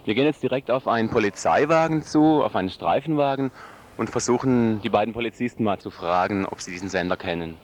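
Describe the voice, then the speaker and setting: A man's voice: on the low side, quick pace. A man working as a radio reporter, interviewing passers-by outdoors on a busy street. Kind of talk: vox pop interviewing. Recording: faint street noise behind voice.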